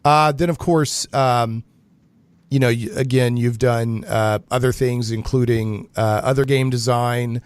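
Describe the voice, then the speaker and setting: A man's voice: mid-range voice, steady tone, a little slow, clear, resonant tone, no echo. A man hosting a radio show, chatting casually in a jokey, rambling way with frequent digressions. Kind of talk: casual, rambling interview chat.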